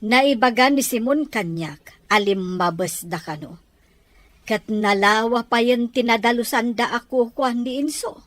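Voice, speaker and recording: A woman's voice: fairly high pitch, unhurried, no echo, lightly acted. A woman acting a concerned wife in a radio drama, with an Ilocano accent. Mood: confronting, worried. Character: Mirna, concerned wife confronting Pedro about boundary.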